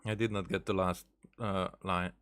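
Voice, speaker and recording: A man giving casual game playthrough commentary as a streamer, thinking aloud haltingly and repeating words. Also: low-pitched, flat delivery, a bit bright, a little hiss or hum. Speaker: gaming streamer.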